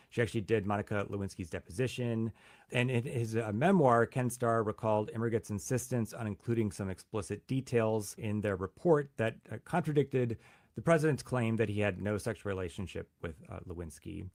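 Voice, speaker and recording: A man's voice: on the low side, a little mellow, no echo. Reporter man, dry close sound. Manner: halting, repeats words at starts. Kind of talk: news interview, reporter explaining.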